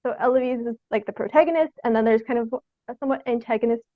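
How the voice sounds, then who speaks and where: A dry, slightly muffled recording of a young woman with a fairly high voice. She talks casually as an interviewed theatre artist, piecing her thoughts together as she goes and peppering them with "like". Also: no echo. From Sault Ste. Marie, Canada. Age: young adult. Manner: halting, thinking aloud, frequent "like".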